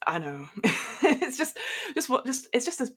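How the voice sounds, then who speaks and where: A woman's voice: on the high side, very animated, normal speed, husky. Chatty northern English woman. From northwest England. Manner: rambling, self-mocking, laughs often. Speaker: podcast guest. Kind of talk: casual storytelling chat.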